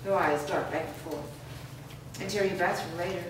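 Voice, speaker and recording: A woman with a slightly low voice narrates screenplay stage directions. She is heard from a distance in a very reverberant room, with noise behind her. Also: steady tone, moderate pace.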